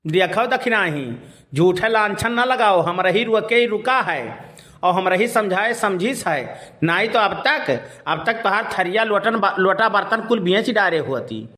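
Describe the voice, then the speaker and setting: Man acting a cartoon village uncle, Awadhi accent, high pitch, bright voice, folksy rambling storyteller. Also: expressive, measured pace, heavily acted. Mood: chiding. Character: folksy village uncle telling tall anecdotes. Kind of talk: cartoon storytelling in rustic dialect.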